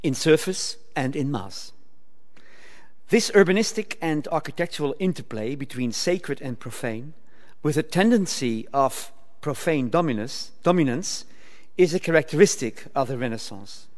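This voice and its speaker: Older male academic at a lectern, careful formal delivery, fairly high voice, echoing lecture hall. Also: expressive. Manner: measured, formal delivery with occasional stumbles and restarts. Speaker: conference speaker. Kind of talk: reading a prepared academic paper. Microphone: lectern microphone. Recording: reverberant hall.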